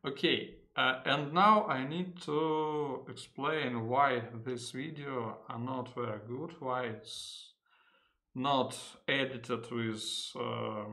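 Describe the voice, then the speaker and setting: Slow, halting man with a Russian accent. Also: mid-range voice, fairly even intonation, pushed and bright. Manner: halting, frequent uh fillers, self-corrections. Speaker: engineering software tutor. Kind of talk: unedited screen-recorded tutorial.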